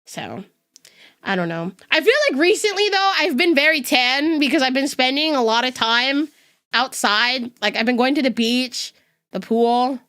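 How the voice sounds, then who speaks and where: A woman's voice: sits high, animated, moderate pace, fairly bright tone. A young woman with a neutral West Coast American accent, chatting casually and laughing often as a streamer talking to her chat. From United States West Coast. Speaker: VTuber streamer.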